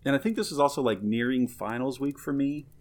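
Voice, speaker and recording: A man's voice: mid-range voice, brisk, darkish tone. A man co-hosting a podcast in casual chat, upbeat and rambling, full of filler words. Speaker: podcast co-host.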